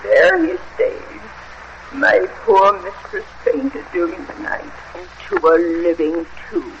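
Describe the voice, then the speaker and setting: An actress playing a frail, dying old woman servant in a radio horror drama, quavering, halting and anguished. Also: fairly low pitch, very animated, heavily acted. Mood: haunted, sorrowful. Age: older. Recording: muffled, hissy old radio drama recording.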